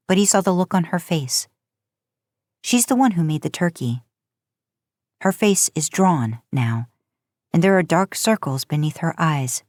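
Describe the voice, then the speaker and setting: Female story narrator for podcast fiction, lower register, close dry recording. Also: a little mellow, no room sound.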